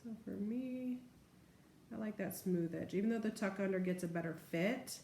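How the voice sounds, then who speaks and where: A woman's voice: medium pitch, normal speed, dark tone. A woman chatting informally as she narrates a hands-on nail tutorial step by step. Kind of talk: casual hands-on demonstration chat. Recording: echoey room with faint background noise.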